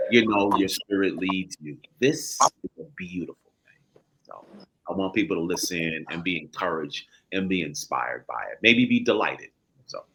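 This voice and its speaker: A man speaking as a guest in an earnest, reflective and encouraging way, with deliberate phrasing. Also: low voice, fairly bright tone, breathy edge, low-quality recording. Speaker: actor, show guest.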